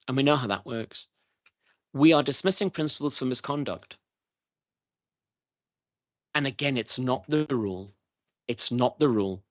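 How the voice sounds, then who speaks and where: A man's voice: medium pitch, fairly bright tone, no echo. A middle-aged man giving earnest, emphatic testimony to a parliamentary committee, repeating his key points. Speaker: chief schools evaluator testifying to a committee. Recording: dull lo-fi committee room audio.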